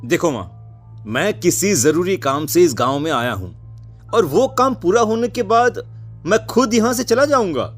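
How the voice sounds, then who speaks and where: A man playing a headstrong seventeen-year-old son in an animated horror story, exasperated and insistent. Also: sits high, unhurried, soft background music. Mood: earnest.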